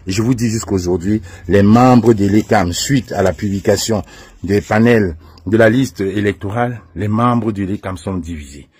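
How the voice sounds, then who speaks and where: A man's voice: lowish voice, normal speed, a little mellow, breathy edge, dry recording. A man with a Cameroonian French accent delivering an emphatic, accusatory political monologue, addressing opponents directly as an activist.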